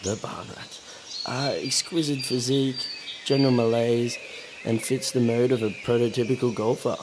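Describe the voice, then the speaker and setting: A man with a gravelly voice, co-hosting a joking podcast. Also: mid-range voice, steady tone, normal speed, background noise. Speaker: podcast co-host. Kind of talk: casual banter.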